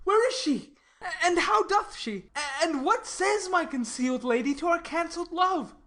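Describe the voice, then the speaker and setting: Shakespeare audio drama: lovesick male youth voiced by a woman, high-pitched, distraught, theatrically anguished. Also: animated, normal speed, fairly bright tone, exaggerated voice. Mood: frantic grief. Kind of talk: classical stage tragedy in audio.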